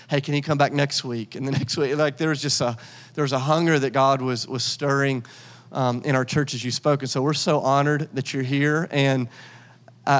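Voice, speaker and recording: A pastor speaks from the stage in a relaxed, conversational way, often restarting his phrases. His voice sits a little high for a man. Long reverb from the church hall trails behind each phrase. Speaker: lead pastor. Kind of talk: sermon and guest introduction. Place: church sanctuary.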